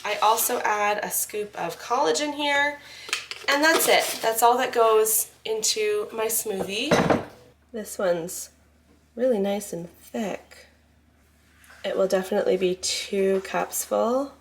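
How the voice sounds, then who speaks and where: A woman's voice: mid-range voice, slow. A woman in her thirties with slight congestion from a cold, chatting casually in a cooking vlog at home. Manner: chatty and rambling, with many "like"s and restarts. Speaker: vlogger mom cooking for her family. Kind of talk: casual cook-with-me chat. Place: home kitchen, indoors. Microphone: camera set in a kitchen cupboard. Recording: clear background room noise.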